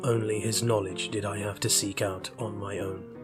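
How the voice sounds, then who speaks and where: A man narrates short fiction from a script. His voice sits on the low side. Instrumental music is clearly heard beneath the reading. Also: level tone, normal speed. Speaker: story reader.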